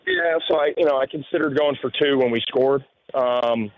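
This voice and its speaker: A man who coaches high school football, explaining things in spontaneous interview answers and often saying "you know". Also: wildly swooping pitch, normal speed. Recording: dull lo-fi radio audio.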